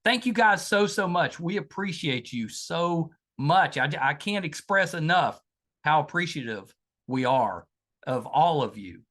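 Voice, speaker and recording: A man's voice: on the high side, expressive, unhurried, a bit bright. An older man hosting a podcast, talking effusively and repeating his thanks and phrases. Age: older, grandfather. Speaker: podcast host. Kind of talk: podcast host talk and interview.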